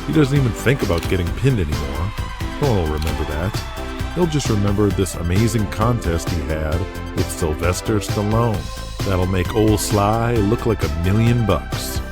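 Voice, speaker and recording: A man's voice: on the low side, expressive, normal speed. A man reading scripted dramatic narration as the narrator of an audio drama. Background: music bed.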